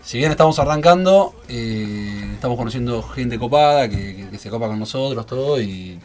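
A man's voice: mid-range voice, lively intonation, moderate pace, soft background music. A man in his thirties with a Rioplatense Argentine accent, a stand-up comedian chatting casually as a guest, laughing often and rambling with fillers. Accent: Rioplatense Spanish. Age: 30s. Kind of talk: casual radio chat.

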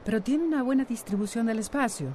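A woman's voice: a little high, quick pace, warm tone, a little hiss or hum, lightly acted. An actress playing a gracious but firm woman showing off her home in a radio soap, with a Costa Rican accent. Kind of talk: radio soap opera acting.

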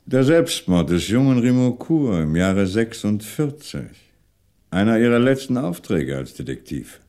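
A shrewd police commissioner in a 1960s German radio crime play. His voice is low and mellow, and it moves widely in pitch as he questions deliberately, leaving pointed pauses. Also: sing-song. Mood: probing. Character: shrewd, probing male French police commissioner.

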